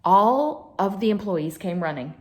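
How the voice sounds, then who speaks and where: A woman's voice: medium pitch, lively intonation, a little slow. A woman reading a picture book aloud to children as a storyteller, expressively, with chatty asides to her listeners.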